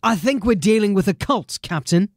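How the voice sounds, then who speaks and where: A man playing a determined, embattled police sergeant in a dramatic audio drama, speaking earnestly and deliberately. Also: high-pitched, lively intonation, no echo, clean studio sound. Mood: earnest, urgent.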